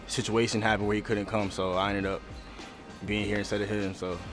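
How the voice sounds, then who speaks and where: A relaxed young college athlete talks loosely through his answers, circling back on the same words. His voice is a bit low. A loud music bed runs underneath him. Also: a little fast. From Connecticut. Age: young adult. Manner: laid-back, repeats himself. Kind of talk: recorded player interview.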